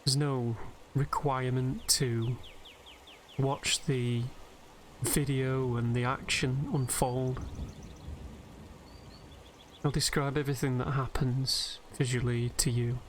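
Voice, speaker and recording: A man gives soothing, unhurried, gentle guided sleep meditation commentary over a game. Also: medium pitch. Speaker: host and narrator of a game meditation video. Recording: steady background noise, game nature ambience. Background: game ambience of river and birdsong.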